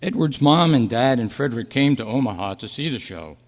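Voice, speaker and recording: A man acting in a staged radio drama, with earnest, measured storytelling. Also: mid-range voice, animated, natural voice. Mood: wistful, earnest. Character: Roy, dead thirty-three-year-old man's wistful, peaceful spirit. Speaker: actor and announcer. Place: live club meeting in a hall. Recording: echoey hall with long reverb.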